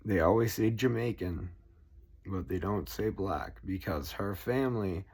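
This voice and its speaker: A man's voice: sits low, unhurried, gravelly, darkish tone, breathy edge. A man with a Boston accent ranting at length, swearing constantly and rambling. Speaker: political vlogger. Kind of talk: profane rambling rant to camera.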